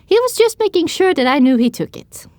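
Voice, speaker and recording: A woman's voice: very high-pitched, very animated, a little fast, a little mellow, dry recording. A woman narrating an audio drama in the first person, reading scripted storytelling prose.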